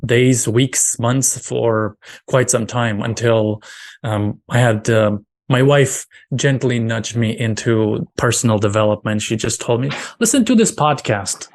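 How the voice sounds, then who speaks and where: A man's voice: medium pitch, measured pace. A man from Romania, a guest in an interview, talking earnestly and often restarting his phrases. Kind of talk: casual interview talk.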